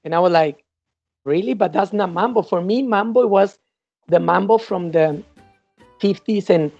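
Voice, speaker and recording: Mellow-voiced woman telling dance stories. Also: medium pitch, moderate pace, vocal fry, dry recording. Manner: animated, acts out past dialogue and counts. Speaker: interview guest, salsa dancer. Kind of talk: casual storytelling in an interview. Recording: dull lo-fi sound with faint music.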